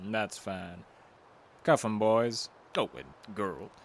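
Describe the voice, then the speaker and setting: Smug, low-voiced squad commander. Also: male voice, a little hiss or hum, exaggerated voice. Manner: wry, wordy, politely commanding. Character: smug, unhurried male leader of an armed squad. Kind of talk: audio drama acting.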